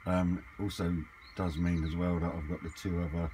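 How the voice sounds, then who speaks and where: A man's voice: low-pitched, little pitch movement, moderate pace, dark tone. A man chatting casually and off the cuff, hesitant as he thinks aloud in a hobby vlog. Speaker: hobbyist vlogger. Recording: clearly noisy amateur camera recording.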